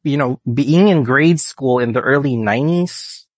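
A man in his late thirties with a Filipino accent, answering interview questions in an earnest, halting way. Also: mid-range voice, dry recording. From Philippines. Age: late 30s. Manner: earnest, halting, restarts often. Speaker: guest researcher.

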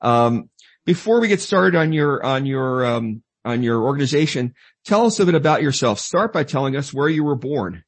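A man's voice: medium pitch, lively intonation, normal speed, slightly rough. A man co-hosting an interview show, asking questions in a stumbling way, often restarting his sentences. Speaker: co-host.

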